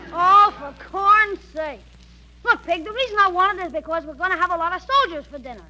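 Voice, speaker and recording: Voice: extremely high pitch, a little fast, bright, forceful tone, muffled recording, heavily acted. An actor playing a brash, eager, slangy schoolboy in a 1940s radio comedy. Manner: slangy, boastful, emphatic. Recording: noisy old radio broadcast with hum.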